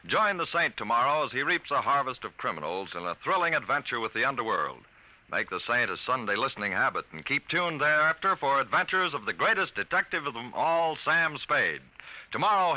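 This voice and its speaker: Male 1950s radio announcer, declamatory and animated, muffled old recording. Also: mid-range voice. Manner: formal, declamatory.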